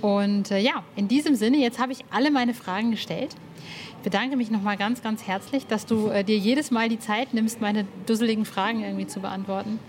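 A woman's voice: mid-range voice, brisk, slight background noise. A woman hosting a podcast in an informal, chatty and enthusiastic way. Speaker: podcast host and interviewer.

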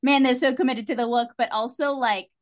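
A woman's voice: high voice, fairly quick. Woman chatting casually on a podcast. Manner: animated storytelling with frequent "like" and acted-out reactions. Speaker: podcast guest.

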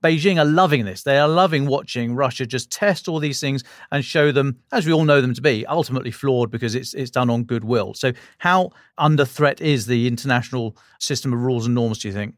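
A man's voice: mid-range voice, brisk, few pauses, no room sound, clean studio sound. A British man hosting an interview podcast, putting probing, stacked questions in colloquial language. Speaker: podcast host.

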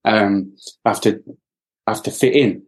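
A middle-aged man from Wales talks as a podcast guest in a low voice. He thinks aloud, circling back with fillers and restarts as he recalls the past. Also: moderate pace. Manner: hesitant, many fillers and restarts. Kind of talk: reflective interview talk.

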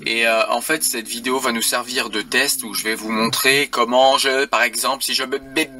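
A man's voice: medium pitch, steady tone, normal speed, bright, forceful tone, slight background noise. A man talking to viewers as the presenter of a demonstration clip. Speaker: video presenter.

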